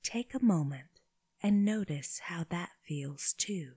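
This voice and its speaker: A woman leading a guided meditation for children, speaking gently in short phrases with long pauses, her breathy voice often dropping toward a whisper. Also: lowish voice, no echo. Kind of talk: slow guided body scan meditation.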